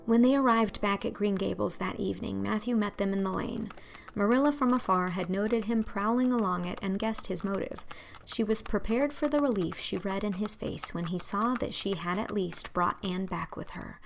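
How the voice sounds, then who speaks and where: Woman narrator with a creaky edge. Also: mid-range voice, normal speed, slight background noise. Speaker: narrator and audiobook reader. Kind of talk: scripted storybook narration.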